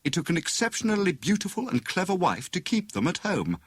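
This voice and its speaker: Guy putting on a clever young wife for an audiobook, raised pitch, coaxing n withering, bit husky. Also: wildly swooping pitch, a little fast, no room sound, heavily acted. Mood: teasing, coaxing. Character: witty, sharp-tongued young wife, eager amateur detective.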